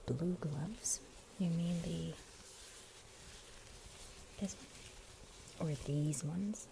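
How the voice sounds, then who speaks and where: A woman streaming ASMR, chatting casually to viewers in a soft, breathy whisper close to a sensitive microphone. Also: low voice, very slow, noisy background.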